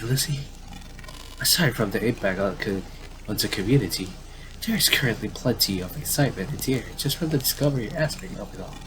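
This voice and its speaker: A man's voice: medium pitch, a little hiss or hum, rough recording. A man doing casual game commentary, reading visual novel dialogue aloud and often stumbling and repeating phrases.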